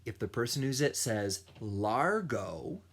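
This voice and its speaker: A man who teaches children music, reading a picture book aloud in a deliberate, animated storytelling style. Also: mid-range voice. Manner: slow, deliberate storytelling with sung and imitated sounds.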